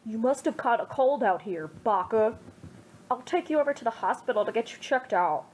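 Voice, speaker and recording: A woman's voice: a little high, animated, normal speed, a little mellow, a little hiss or hum, lightly acted, poor audio. A woman voicing a caring teenage boy ninja in a fan story reading, sounding concerned and scolding.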